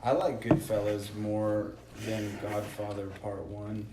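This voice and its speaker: A man's voice: fairly low pitch, level tone, slow pace. A man co-hosting a casual film podcast, blunt and argumentative, swearing freely as he gives his takes. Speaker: podcast co-host. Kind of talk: casual film chat among friends. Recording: echoey, off-mic, clear background noise.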